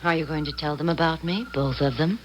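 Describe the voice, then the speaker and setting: An actress in a 1970s radio drama playing the devil as a sly, polished woman, smooth, formal and insinuating. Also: low voice, brisk, dull audio, background noise, close to an everyday voice. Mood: scheming. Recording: 1970s radio broadcast recording.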